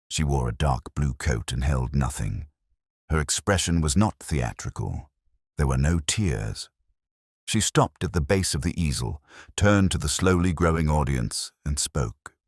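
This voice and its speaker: Very deep-voiced man narrating a mystery. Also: no echo, studio quality. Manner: measured, literary, atmospheric. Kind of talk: scripted mystery story narration.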